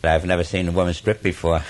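A man's voice: low voice, level tone, fairly quick. An older man recalling his childhood in an interview, amused and reminiscing. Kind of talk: personal recollection.

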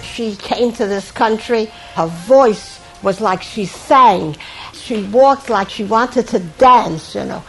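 A woman's voice: medium pitch, slow pace, creaky, fairly bright tone, soft background music, poor audio. An older woman retelling a song's story with amusement. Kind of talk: personal recollection.

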